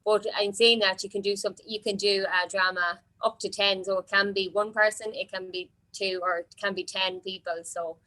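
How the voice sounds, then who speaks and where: A woman's voice: mid-range voice, steady tone, normal speed, few pauses, bright, forceful tone, poor audio. An Irish woman organiser answering questions informally, explaining things in a hesitant way.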